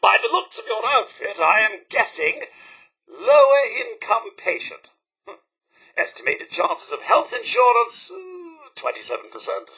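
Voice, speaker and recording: A man's voice: high voice, huge pitch swings, measured pace, sharp tone, husky, heavily acted. A man with a British accent voicing a prim, fussy, loyal robot butler in a video game, theatrical and formally polite. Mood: officious, wry. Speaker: voice actor. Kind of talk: video game companion voice lines.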